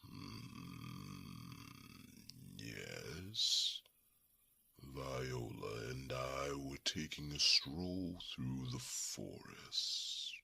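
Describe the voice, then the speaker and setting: Gravelly, abyss-deep courtly dragon. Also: male voice, lively intonation, sharp tone, heavily acted. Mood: guarded fondness. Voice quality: very deep, gravelly, breathy rasp. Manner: formal, slow, deliberate, with thoughtful hums. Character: courtly male dragon, reserved, gently evasive about love. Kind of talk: ASMR fantasy roleplay.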